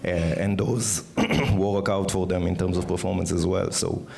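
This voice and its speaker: A man on an industry panel giving brisk, confident opinions. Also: low-pitched, fairly even intonation, gravelly, darkish tone. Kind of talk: conference panel discussion.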